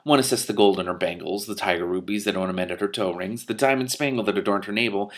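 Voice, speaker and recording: A man reading a fantasy novel aloud and commenting as he goes, with expressive delivery. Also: on the low side, fast. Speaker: reader and commentator.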